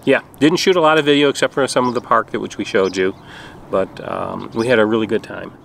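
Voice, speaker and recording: A man's voice: lowish voice, quick pace, fairly bright tone. Middle aged guy doing a casual rv travel vlog outside, bit of background noise. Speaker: RV travel vlogger. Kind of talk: casual chat to camera. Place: outdoors at a campground. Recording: clear outdoor background noise.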